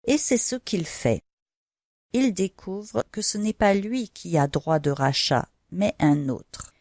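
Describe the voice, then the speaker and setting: A woman's voice: mid-range voice, darkish tone, dry recording, clean studio sound. A woman storyteller narrating Bible stories for children in simple, didactic language, speaking directly to the young listener.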